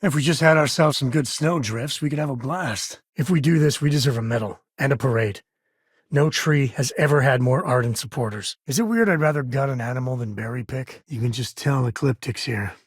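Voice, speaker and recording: A man's voice: medium pitch, a little fast, breathy edge, dry recording, natural voice. A man acting a folksy, wry space-frontier ranger, dry studio sound. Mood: easygoing, wry. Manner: folksy, laid-back drawl with dry wit. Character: rugged, wry, warm-hearted frontier ranger and devoted single father. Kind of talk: video game voice acting, companion dialogue.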